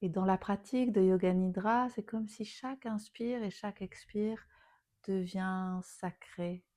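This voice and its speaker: A woman teaching yoga nidra, guiding a relaxation meditation in a soothing, unhurried manner, with phrase endings softly trailing towards a whisper. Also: medium pitch, fairly even intonation.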